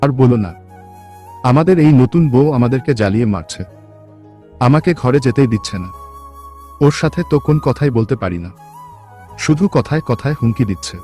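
A man's voice: medium pitch, lively intonation, a little fast, pauses often, dark tone, faint music behind, natural voice. A man voicing a hapless young village husband in a cartoon, speaking in an earnest, plaintive way. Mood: regretful, complaining. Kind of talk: cartoon voice acting.

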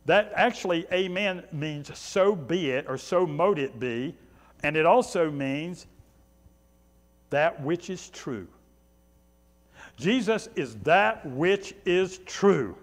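A man's voice: a little high, takes it slowly. A man in his sixties preaching a sermon as a pastor, emphatic, with short repeated phrases and conversational asides. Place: small church sanctuary, indoors. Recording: long reverb of a church hall.

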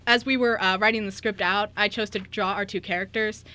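A woman's voice: medium pitch, steady tone, a little fast, pushed and bright. A young American woman chatting casually as a co-host on a radio show. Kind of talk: casual group radio banter. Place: radio studio.

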